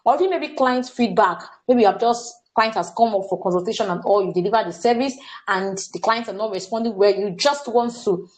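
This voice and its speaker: Brief: young Nigerian woman, workshop facilitator, earnest, mid-pitched, stumbles on words and restarts. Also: a little fast, a little mellow. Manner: earnest, repeats words and says "what's it called" often. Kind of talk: staff training talk.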